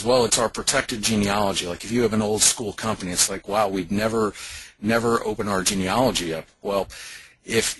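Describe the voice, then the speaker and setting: A man's voice: on the low side, fairly bright tone. A man speaking as a company founder on a conference call, explaining his business at length like a salesman. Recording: noisy conference call line with clicks.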